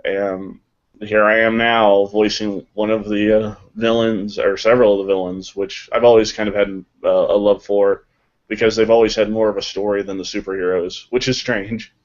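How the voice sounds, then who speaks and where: Male panel guest, lowish voice, dry self-deprecating humor, dull low-fidelity recording. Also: level tone, normal speed. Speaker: voice actor on a panel. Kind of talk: casual unscripted panel chat.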